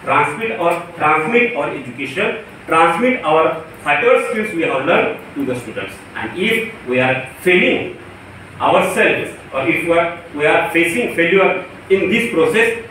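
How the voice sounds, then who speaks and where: A man's voice: on the high side, pushed and bright. A man with an Indian Marathi accent gives a motivational lecture as a professor, speaking emphatically with repeated phrases and rhetorical questions. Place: echoey indoor room. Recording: very echoey room, distant mic, faint noise.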